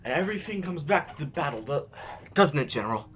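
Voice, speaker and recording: Guy doing tortured reluctant rebel in a sci-fi audio play, highish male voice, dramatic and brooding, slightly noisy audio. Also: expressive, fast talker, natural voice. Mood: bitter accusation. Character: anguished idealist rebel, reluctant fighter, bitterly philosophical. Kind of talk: science fiction audio drama acting. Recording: faintly noisy amateur recording.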